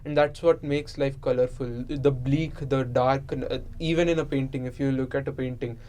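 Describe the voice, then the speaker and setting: A man's voice: medium pitch, fairly even intonation, moderate pace, a little mellow. Reflective man chatting over faint noise. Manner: reflective, explanatory. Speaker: participant in an unscripted discussion. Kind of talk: casual philosophical chat. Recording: noisy room ambience.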